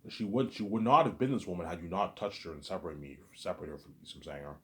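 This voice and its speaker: A man talking straight to his audience in a rambling monologue, repeating himself, stumbling over restarts, and letting his asides fade quietly. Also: low-pitched, animated, very fast. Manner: repetitive, stammers on restarts, trails off quietly on asides. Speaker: solo vlogger.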